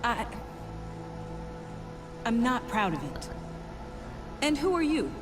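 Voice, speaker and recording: An actress playing a shaken, defensive young woman in a video game, speaking in a halting, emotional way. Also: on the high side, very animated, music in the background. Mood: defensive guilt.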